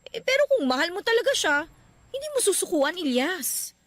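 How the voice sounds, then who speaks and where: Caring, animated Filipina older sister. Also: female voice, extremely high pitch, normal speed, noisy background. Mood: urging, heartfelt. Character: caring, frank older sister giving heartfelt advice. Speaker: radio drama actor. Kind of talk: Filipino radio drama acting. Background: music.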